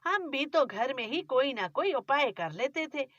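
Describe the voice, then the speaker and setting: A woman's voice: high-pitched, very animated, a little slow, a bit bright, no room sound, highs cut off, heavily acted. A woman voicing a stingy, nagging older mother-in-law who scolds about money. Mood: scolding. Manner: scolding, penny-pinching complaints.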